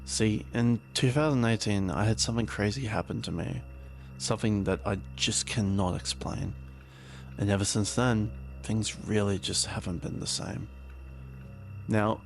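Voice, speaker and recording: A man's voice: lowish voice, vocal fry. A man playing a haunted, paranoid conspiracy theorist, rambling uneasily and confidingly in an audio drama taped diary. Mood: uneasy, defensive.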